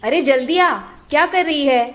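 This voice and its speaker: A woman's voice: sits high, moderate pace, close to an everyday voice. A woman playing a cruel stepmother in a children's moral tale, harsh, nagging and accusing. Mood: scolding. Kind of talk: acted children's story dialogue.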